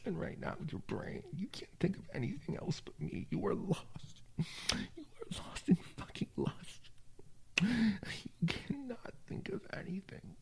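A man speaking in an intimate, whispered audio roleplay, breathy and murmuring, with frequent sighs. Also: sits high, big pitch swings, measured pace, low-quality recording.

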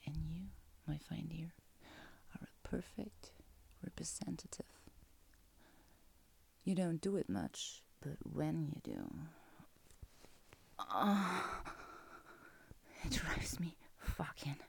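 A woman playing a seductive, teasing, dominant lover in an intimate audio roleplay, breathy and commanding, often dropping into a whisper. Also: deep voice, expressive, takes it slowly, pauses often, full character voice. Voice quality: breathy, husky.

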